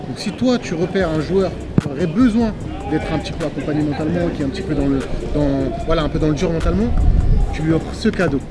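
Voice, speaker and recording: A man hosting casual interviews at an outdoor football event, asking quick friendly questions. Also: on the high side. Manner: fast, friendly, chatty questions. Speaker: host and interviewer. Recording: loud music and crowd behind.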